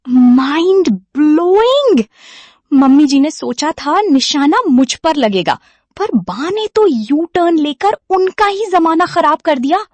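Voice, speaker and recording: A cheeky modern daughter-in-law acted by a woman tells a family cartoon to viewers. Her voice is high and very lively, with unhurried pacing and a dry, close sound. She is playful and theatrical. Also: no echo, full character voice. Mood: gleeful mock worry. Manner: playful, dramatic. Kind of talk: scripted storytelling addressed to viewers.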